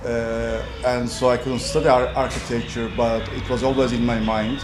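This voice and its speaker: Man, unhurried. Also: mid-range voice, little pitch movement, noisy background. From Cyprus. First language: Turkish. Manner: measured, hesitant, many fillers. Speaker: property developer. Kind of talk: relaxed interview answers. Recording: roomy echo with background music.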